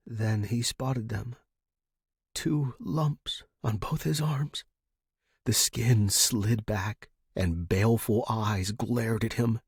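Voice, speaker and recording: A man reads scripted fiction as a podcast host and narrator. His delivery is dramatic, in a medium pitch with a slightly husky edge, and the sound is very dry. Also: darkish tone, no room sound.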